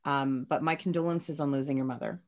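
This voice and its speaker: A woman hosting a podcast, interviewing her guest carefully and gently, and often stopping to clarify points. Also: rumbling low, steady tone, brisk. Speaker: podcast host.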